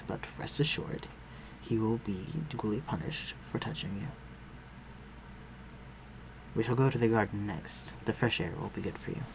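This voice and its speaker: A man playing a protective, courtly vampire lord in an audio roleplay, speaking formally and tenderly to his human listener. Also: lowish voice. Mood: solemn, protective. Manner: formal, old-fashioned, tender and reassuring. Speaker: voice actor. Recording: clearly noisy, ambient sound behind voice.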